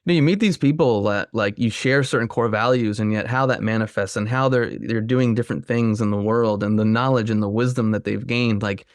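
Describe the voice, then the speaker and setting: A man's voice: fairly low pitch, fairly quick, hardly any pauses, no room sound, studio quality. A man hosting a podcast interview who talks in a friendly, rambling way with many fillers. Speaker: podcast host.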